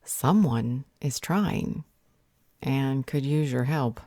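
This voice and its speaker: A woman playing a stern, protective vampire healer in an audio roleplay, speaking in a measured, firm and earnest way. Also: a bass voice, lively intonation, mellow, dry recording, studio quality, exaggerated voice. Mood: earnest, tender.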